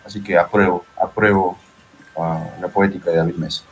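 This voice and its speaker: A man's voice: deep voice, steady tone, moderate pace. A man with a Peruvian accent talking casually and reflectively as an interview guest, often checking for agreement. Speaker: interview guest, poet and translator.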